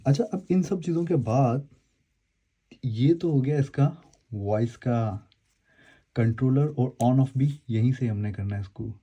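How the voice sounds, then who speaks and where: A man's voice: medium pitch, a little slow, long pauses, warm tone, husky. A man with a mid-range voice presents a casual product demonstration. He explains things to his viewers in a rambling, conversational way, often going back to repeat or correct what he has just said. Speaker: host of an unboxing and review video.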